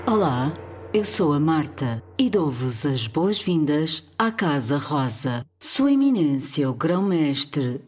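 A woman's voice: low-pitched, a little mellow, slightly rough, exaggerated voice. A woman playing a pompous hostess making formal ceremonial announcements in a radio soap opera. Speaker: master of ceremonies.

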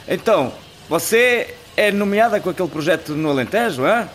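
Higher-voiced eager critic. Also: male voice, lively intonation, normal speed, a bit bright, a little hiss or hum. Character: eager, flattering male architecture critic. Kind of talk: radio soap acting.

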